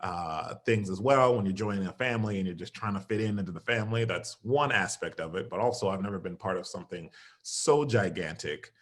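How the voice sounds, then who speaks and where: A man with a low, deep speaking voice chats casually as an interview guest. He is animated, laughs easily and jumps up in pitch when he acts out his own reactions, heard over a video call. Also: a little fast. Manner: animated, laughs often, quotes himself in lively bursts. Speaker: interview guest, stage actor and singer.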